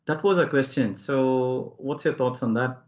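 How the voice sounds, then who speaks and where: A man's voice: medium pitch, measured pace, dull audio. A man hosting a podcast, asking questions with enthusiasm and frequent wows. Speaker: podcast host. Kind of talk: casual interview questions.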